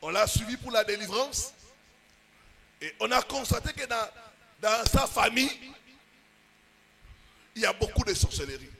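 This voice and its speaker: A male pastor preaching with a very high, bright voice that turns gravelly at the ends of phrases. He pushes lines out in emphatic bursts and then lets them sink low and slow. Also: lively intonation. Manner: preaching with rising, emphatic bursts and drawn-out pauses. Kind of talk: sermon.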